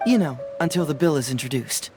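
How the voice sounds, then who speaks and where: A man's voice: a little high, expressive, brisk, over music. An actor playing a clever young male detective in a video game, playful, casual and self-assured. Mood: breezy.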